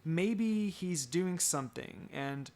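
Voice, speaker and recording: A man's voice: a little high, animated, slow, darkish tone. Man reading as a polite, hesitant ninja girl. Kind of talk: dramatic fan fiction reading.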